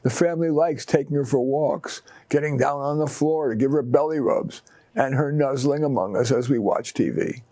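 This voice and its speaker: A man's voice: medium pitch, moderate pace, dark tone, no room sound. A man reading his own short stories aloud in a conversational, wry storytelling style.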